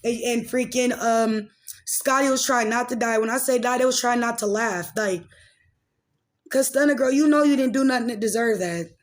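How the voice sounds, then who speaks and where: A woman's voice: fairly high pitch, normal speed. Rambling, slangy young woman chatting casually. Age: late 20s. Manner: rambling, slangy, peppered with "like" and "freaking". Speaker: podcast host and commentator. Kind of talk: casual gossip commentary and personal chat.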